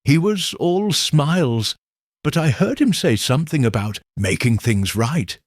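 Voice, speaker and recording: A man voicing a sharp young waitress in her twenties, eager to talk, in an audiobook. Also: on the high side, animated, a little slow, no echo, studio quality, voice pushed up. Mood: eager, gossipy.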